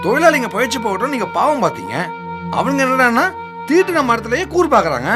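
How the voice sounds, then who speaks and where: A man playing a loyal, excitable servant in a Tamil radio drama, speaking deferentially and emphatically. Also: extremely high pitch, fast talker, over music, exaggerated voice. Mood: deferential, indignant.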